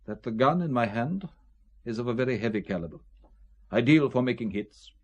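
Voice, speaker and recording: A man's voice: mid-range voice, expressive, highs cut off, natural voice. Man playing a suave, menacing count with swooping intonation. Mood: cold menace. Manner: urbane, deliberate, guarded. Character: suave, menacing Italian count, shady art dealer. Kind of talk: spy thriller audio drama acting.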